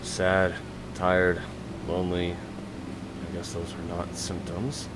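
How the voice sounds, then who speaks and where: Game patient role, male actor: nerdy, subdued, hesitant, courteous and weary; low register, noisy audio. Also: monotone, slow. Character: tall nerdy man, weary, lonely, polite patient.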